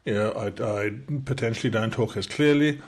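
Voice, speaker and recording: A middle-aged South African man, his accent softened by years in the UK, walks through a software tutorial in casual talk. He talks fast, with many restarts and fillers. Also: lowish voice. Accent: South African, softened by decades in the UK. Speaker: entrepreneur demonstrating software. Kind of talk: casual screen-share tutorial. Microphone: webcam in a small circle over the screen recording.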